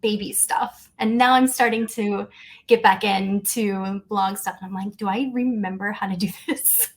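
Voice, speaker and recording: A woman with an average-pitched voice hosts a casual podcast. She speaks conversationally, in an agreeable, appreciative manner toward the person she is talking with. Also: steady tone, moderate pace. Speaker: podcast host.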